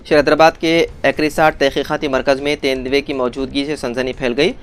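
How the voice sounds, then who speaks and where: A man's voice: mid-range voice, flat delivery, brisk, over music. A man presenting scripted news in a formal bulletin delivery, as a news presenter would.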